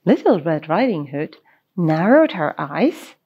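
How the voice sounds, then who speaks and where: A woman reading a children's story aloud in a playful, expressive manner, adding her own asides. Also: low voice, slow, husky, highs cut off.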